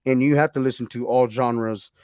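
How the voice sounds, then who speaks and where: A man's voice: medium pitch, steady tone, normal speed, a little mellow, smooth, no echo. A male DJ hosts a radio talk show, asking his guest questions in a loose, rambling way full of fillers. His voice sits in a middle range. The sound is dry and close. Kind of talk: casual interview chat.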